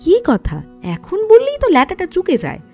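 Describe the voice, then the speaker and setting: A woman's voice: very high voice, huge pitch swings, moderate pace, mellow, faint music behind, heavily acted. A woman playing a feisty, playful teenage schoolgirl in an audio love story, bantering with spirit. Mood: playful, casual. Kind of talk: Bengali audio story acting.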